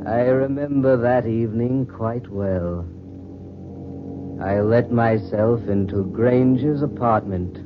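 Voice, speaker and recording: Suave, menacing enigma, 1940s radio drama. Formal, theatrical, unhurried delivery; dull vintage audio. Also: male voice, medium pitch. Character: mysterious, suave, sinister masked man telling his tale.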